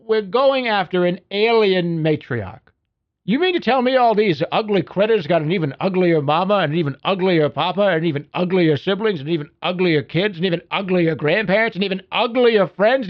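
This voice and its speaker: High-pitched, animated spaceship mechanic. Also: male voice, lo-fi sound. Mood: grumbling, incredulous. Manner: folksy, wisecracking, slangy. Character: cocky, folksy spaceship mechanic who babies his mech suit. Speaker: hangar mechanic briefing the pilot. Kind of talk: video game character voicelines.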